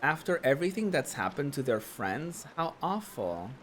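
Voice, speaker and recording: A hoarse young man streaming a game, rambling casually and saying "like" a lot while he talks over the gameplay. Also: on the high side, sing-song, normal speed, fairly bright tone, slight background noise. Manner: chatty, rambling, says "like" a lot. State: hoarse, losing his voice. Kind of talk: casual let's-play commentary.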